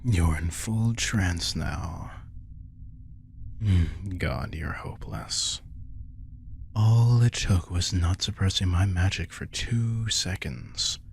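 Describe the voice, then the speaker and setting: A man voicing a possessive, teasing vampire in an intimate audio roleplay, smug and softly commanding. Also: sits low, slow, vocal fry, slight background noise, heavily acted. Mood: hushed, smug. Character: possessive, teasing male vampire with hypnotic powers. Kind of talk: intimate scripted audio roleplay addressed to the listener.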